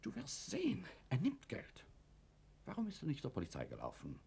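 Husky, volatile, domineering husband. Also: male voice, a little high, wildly swooping pitch, normal speed, darkish tone, heavily acted. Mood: defensive. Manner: agitated, self-justifying, commanding. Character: guilt-ridden former officer turned factory owner, domineering, nervous husband. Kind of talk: German radio drama acting.

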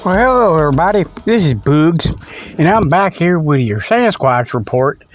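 A man's voice: fairly high pitch, very animated, moderate pace, faint music behind. A man hosting a comedy talk segment, rambling folksy and laughing often while he talks into a tablet in a parked car. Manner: folksy, laughs often, keeps saying "okay?". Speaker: host of a comedy podcast segment. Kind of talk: rambling casual monologue. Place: in a parked vehicle in a parking lot.

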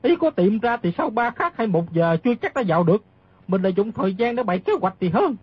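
Anxious, excitable old martial artist for radio drama; quick, emphatic, very dry recording. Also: male voice, sits high, breathy edge, no echo, muffled recording. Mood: anxious, urgent. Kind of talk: dramatised audiobook reading.

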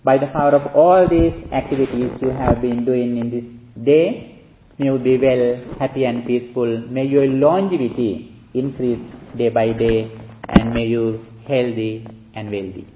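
Sri Lankan monk preaching slowly. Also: male voice, mid-range voice, slight background noise. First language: Sinhala. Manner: slow, halting speech with many fillers. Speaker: Buddhist monk. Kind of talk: dharma talk with interpreter. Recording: dull lo-fi recording.

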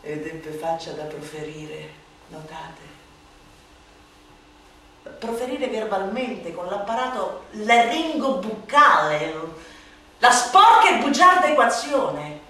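A woman performing a satirical theatrical monologue in a declamatory, sardonic manner that swings between hushed and shouted delivery. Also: mid-range voice, big pitch swings, slow pace. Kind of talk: theatrical radio recitation of a satirical pamphlet. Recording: echoey hall, distant mic, noisy.

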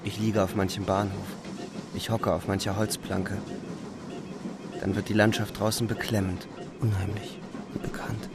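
An actor playing a weary, haunted young soldier, narrating a radio play in brooding, restrained short sentences. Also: male voice, fairly low pitch, little pitch movement, normal speed, pauses often, over loud music, natural voice. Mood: brooding, tense. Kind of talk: literary radio play narration, inner monologue.

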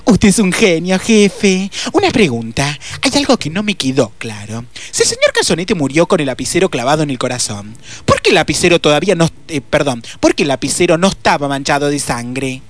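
A woman's voice: deep voice, huge pitch swings, brisk, few pauses. An Argentine woman playing an eager, curious and exclamatory detective's assistant in a radio drama. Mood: admiring, curious. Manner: exclamatory, questioning.

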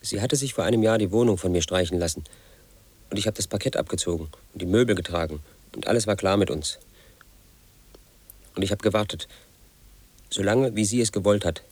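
Resentful obsessive man in his thirties, low husky voice, flat insistent telling, radio play. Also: fairly quick, pauses often, no room sound. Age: 30s. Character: obsessive, aggrieved man brooding over his ex. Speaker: narrator of his own story. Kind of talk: 1980s radio play monologue.

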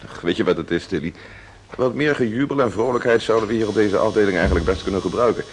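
An actor playing a genial, gently ironic hospital professor in a Dutch radio drama, speaking in a measured, courteous way. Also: male voice, on the low side, close to an everyday voice. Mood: dryly ironic. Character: genial male hospital professor, courteous, teasing, paternal doctor. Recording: noisy old radio play recording.

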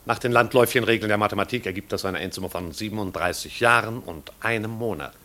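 Stiff, low-voiced old butler. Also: male voice, fast talker, sharp tone. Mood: formal, dutiful. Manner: stilted, pompous, overly formal wording. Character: stiff, pedantic, long-serving butler to a marquis. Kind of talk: 1950s German radio play acting.

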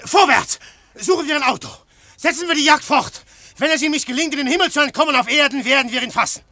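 Ein Schauspieler spielt in einem Hörspiel einen leidenschaftlichen Detektiv, der mal drängend ruft, mal leise grübelt. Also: male voice, very high voice, quick pace, background noise. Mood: urgent. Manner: fervent, declamatory, shifting to hushed musing. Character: intense male detective, brooding philosopher. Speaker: detective narrator. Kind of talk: radio play acting.

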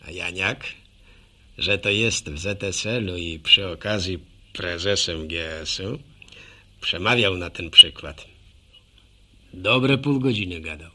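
An actor playing a folksy older village man with a rural Polish accent, telling a wry, rambling radio drama monologue in a gravelly voice. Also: low-pitched, takes it slowly, pauses often, sharp tone, exaggerated voice. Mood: wry, musing.

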